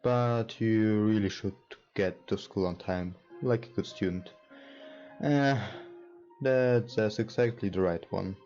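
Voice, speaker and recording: A man hosting a let's play, reading game text aloud haltingly and adding casual commentary. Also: lowish voice, a little mellow, muffled recording. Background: game music.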